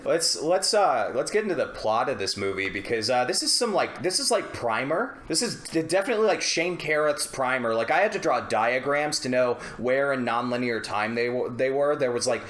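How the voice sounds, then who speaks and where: A man's voice: medium pitch, expressive, normal speed, hardly any pauses, fairly bright tone. A man co-hosting a casual comedic film review podcast, joking in exaggerated, sweary terms. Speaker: podcast co-host. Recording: echoey room sound.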